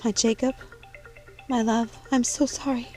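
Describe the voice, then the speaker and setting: A woman's voice: a little high, fairly even intonation, a little slow, mellow, soft background music, pitched above the performer's own voice, close to an everyday voice. A woman voicing an impassioned young witch mother in an audiobook, defiant and pleading as she protects her child. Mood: grieving. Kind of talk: audiobook character dialogue.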